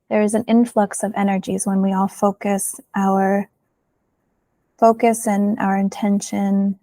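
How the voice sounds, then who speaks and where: A woman leading a guided meditation in a soothing manner, pausing often, with soft phrase endings. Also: medium pitch, gravelly, no room sound. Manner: slow, soothing delivery with long pauses.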